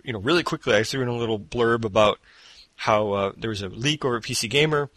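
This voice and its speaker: A man's voice: mid-range voice, quick pace, a bit bright, no echo. A man hosting a casual gaming podcast from home, rambling with fillers and restarts into a headset mic. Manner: rambling, self-deprecating, many fillers and restarts. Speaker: podcast host. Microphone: borrowed headset mic off to the side.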